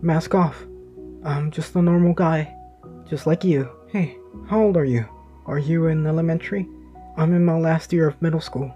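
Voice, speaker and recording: Male narrator doing a teen hero, higher and louder, urgent and coaxing. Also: steady tone, normal speed, dull audio, soft background music, natural voice. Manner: pleading, encouraging, raised voice. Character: earnest teenage boy hero, calm but urgent.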